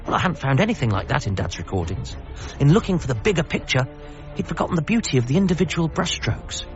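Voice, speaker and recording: An actor playing an anxious, grieving adult son in a radio comedy drama, with a wry, hesitant and apologetic manner. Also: male voice, on the high side, big pitch swings, quick pace, highs cut off, soft background music. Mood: reflective.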